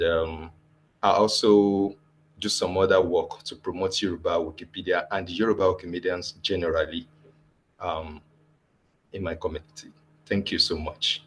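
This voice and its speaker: Nigerian man, very deep voice, polite formal manner, video call, faint ambience behind. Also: fairly bright tone, slight background noise. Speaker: workshop participant, Wikipedia editor. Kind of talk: self-introduction on a video call.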